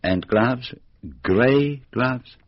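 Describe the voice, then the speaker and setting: An actor playing an eccentric, courteous private detective in a radio drama, speaking formally and deliberately with dramatic exclamations. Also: male voice, mid-range voice, dry recording, muffled recording. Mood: probing, measured.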